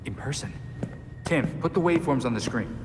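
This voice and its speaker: An actor playing a wry, resolute young vigilante leader in a video game, with an American accent and a confident delivery. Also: male voice, mid-range voice, lively intonation, normal speed, background noise, close to an everyday voice. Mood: wry, assured. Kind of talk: video game cutscene acting. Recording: long reverb, music behind.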